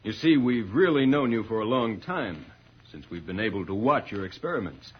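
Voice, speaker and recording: An actor playing a noble, commanding alien spaceman in a 1950s radio serial, speaking in a formal, measured, declamatory way. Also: lowish voice, animated. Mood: calm, courteous. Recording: muffled, husky old radio recording.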